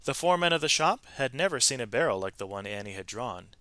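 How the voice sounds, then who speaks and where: A man narrates a storybook as a read-aloud for students. His voice is bright and forward at a middle pitch, and he leans on key words with expressive phrasing. The sound is dry and close, with little room around it. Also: quick pace, no echo.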